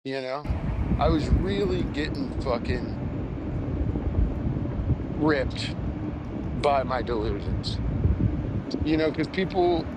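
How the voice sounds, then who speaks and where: A young adult man, a stand-up comedian, rambling casually and candidly in a halting, self-reflective way with some casual swearing. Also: medium pitch, expressive, heavy background noise. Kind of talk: casual rambling monologue, audio journal.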